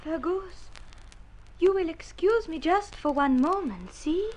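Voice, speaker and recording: Very high-voiced swooning senorita. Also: female voice, lively intonation, slow, darkish tone, exaggerated voice. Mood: entranced. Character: swooning, easily enchanted young senorita. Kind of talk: vintage radio drama acting.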